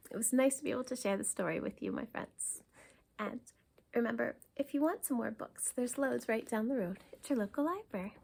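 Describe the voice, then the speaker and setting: High-voiced woman reading a children's story. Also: vocal fry, slight background noise. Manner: dramatic, emphatic. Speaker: library story time reader. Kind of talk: expressive children's storybook narration.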